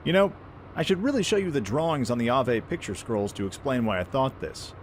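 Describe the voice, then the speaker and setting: A man playing a brash, friendly young pirate prince in an animated, informal way for a fan audio drama. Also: mid-range voice, fairly quick, a little hiss or hum, natural voice. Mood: upbeat, casual.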